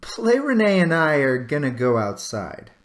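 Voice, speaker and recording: A man's voice: a little high, sing-song, unhurried, reverberant. A man reading a children's storybook, voicing a bossy, determined young farm girl with an insistent manner. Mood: stubborn, cheeky.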